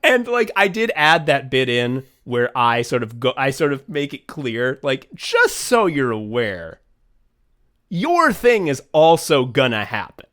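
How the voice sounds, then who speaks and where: A man in casual podcast chat, rambling and restarting his sentences as he goes. He swears freely and leans on filler words. His voice sits at an ordinary middle pitch for a man. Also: big pitch swings, unhurried, fairly bright tone. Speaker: podcast co-host and writer.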